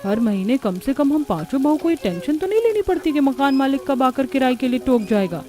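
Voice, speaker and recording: A woman's voice: high-pitched, big pitch swings, fairly quick, mellow, faint music behind. A woman voicing an exasperated, practical young village daughter-in-law in a cartoon story, complaining emphatically. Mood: worried, grumbling. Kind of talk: Hindi cartoon story acting.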